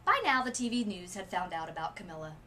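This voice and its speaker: A woman reading a children's story aloud expressively, with deliberate, dramatic phrasing. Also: fairly low pitch, expressive, sharp tone. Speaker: literacy coach reading a picture book aloud. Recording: noisy room ambience.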